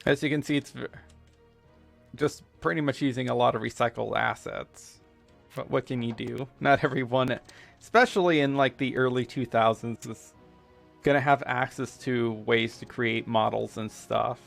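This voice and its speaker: US gamer, Spanish first language, gravelly, mid-pitched; rambling let's-play chat over quiet game music. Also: male voice. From United States. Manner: halting, full of fillers and restarts. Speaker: gamer streaming a playthrough. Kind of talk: casual let's-play commentary.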